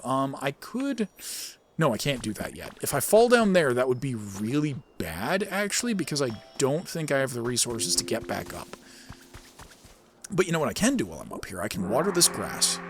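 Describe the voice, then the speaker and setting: A man giving casual, rambling gameplay commentary, thinking out loud as he plays. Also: medium pitch, very animated, normal speed, noisy background. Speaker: gaming video host and amateur voice actor. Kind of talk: casual gameplay commentary with read-aloud game text.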